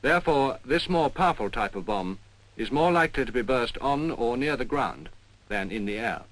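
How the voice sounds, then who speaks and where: A man's voice: medium pitch, expressive, moderate pace, fairly bright tone, low-quality recording. A man narrating an instructional film in a formal, explanatory manner.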